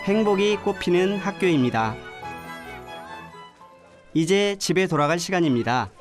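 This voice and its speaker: A man's voice: high voice, animated, moderate pace. Man narrating a travel film over music. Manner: gentle reflective storytelling. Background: background music.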